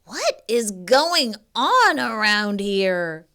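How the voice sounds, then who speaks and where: A woman's voice: mid-range voice, very animated, very slow, bright, forceful tone, full character voice. A woman voicing a bratty, exasperated little girl from a storybook in an indignant, exclamatory manner.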